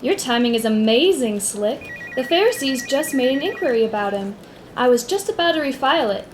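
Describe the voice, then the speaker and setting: A woman's voice: a little high, lively intonation, a little hiss or hum, natural voice. A woman playing a flirtatious, sassy records clerk in a comic radio play. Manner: flirtatious, drawn-out greetings and pet names.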